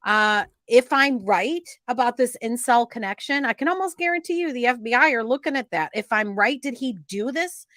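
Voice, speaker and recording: A woman's voice: fairly high pitch, lively intonation, moderate pace, few pauses, fairly bright tone, dry recording, studio quality. A woman hosting an informal true-crime show, talking in an earnest, emphatic and cautionary way.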